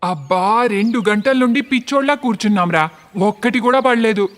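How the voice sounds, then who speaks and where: A man's voice: high-pitched, moderate pace, fairly bright tone. A man voicing a cocky, selfish young city man in a cartoon story. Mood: impatient.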